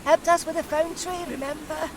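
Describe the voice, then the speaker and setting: Blunt older wife, chatty and jokey, high voice, noisy street behind. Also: female voice, fairly even intonation, normal speed. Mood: brash, friendly. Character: brash, chatty working-class older wife. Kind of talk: audio drama acting. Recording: noisy outdoor street ambience.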